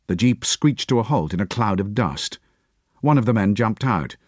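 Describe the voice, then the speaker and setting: A man reading an audiobook in a measured, expressive storytelling voice. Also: on the low side, dry recording, clean studio sound. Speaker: audiobook narrator. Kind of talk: scripted narration.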